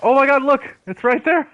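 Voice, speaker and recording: A man giving casual, slangy gameplay commentary, excitable and often talking to himself. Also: very high voice, dull audio. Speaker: video game streamer.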